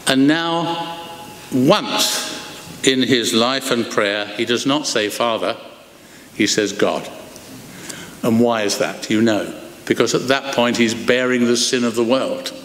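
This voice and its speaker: Older preacher in an echoey church. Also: male voice, medium pitch, slight background noise. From England. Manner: expressive, gestures broadly, slows for emphasis. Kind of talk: sermon. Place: large wooden church, indoors. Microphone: lectern microphone. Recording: echoey church hall, long reverb.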